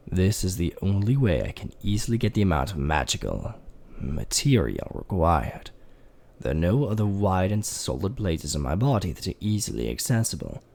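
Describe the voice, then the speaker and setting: A man's voice: very deep voice, creaky, darkish tone, slightly rough, heavily acted. A man voicing a methodical skeleton scientist. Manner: measured, self-reassuring recitation. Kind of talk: fan dub voice acting.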